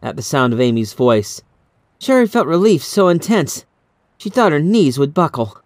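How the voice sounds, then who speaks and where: A man reading a scripted horror story in a measured, dramatic, suspenseful way, as an audiobook narrator. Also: on the high side, big pitch swings, no echo, clean studio sound.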